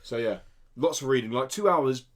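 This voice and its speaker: A man's voice: medium pitch, expressive, moderate pace. A man co-hosting a podcast, chatting casually and enthusiastically about games in a rambling way. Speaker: podcast co-host. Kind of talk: casual gaming podcast chat.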